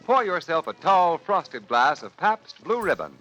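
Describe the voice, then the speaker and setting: A man's voice: fairly high pitch, very animated, unhurried, dull audio. A man introducing a radio theater show in a grand, polished 1940s announcer style. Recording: old radio recording with loud music.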